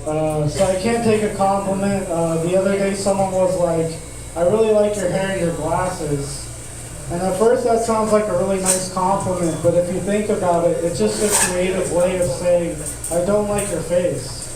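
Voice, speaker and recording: A man's voice: sits high, moderate pace. A man in his twenties performing a laid-back, crude stand-up set in a comedy club, laughing at his own jokes. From Tucson, Arizona. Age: 20s. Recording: echoey club audio from far off, noisy.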